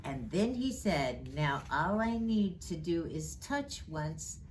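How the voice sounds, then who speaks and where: Slow, expressive woman reading a storybook. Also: low-pitched, slight background noise, rough recording. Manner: slow, expressive, rhyming read-aloud delivery. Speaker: storyteller reading as Mrs. Claus. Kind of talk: read-aloud children's storytime.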